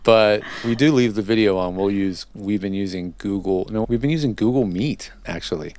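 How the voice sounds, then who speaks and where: A man's voice: lowish voice, moderate pace, a little mellow, dry recording. A man hosting a casual interview, chatting in a rambling way that drifts into tangents. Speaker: podcast host and interviewer.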